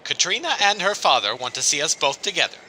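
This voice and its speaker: An actor playing a fussy, nervous, proper village schoolmaster who gets flustered, in an audio drama. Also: male voice, a little high, big pitch swings, fast, sharp tone, a little hiss or hum, full character voice. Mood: anxious, jealous.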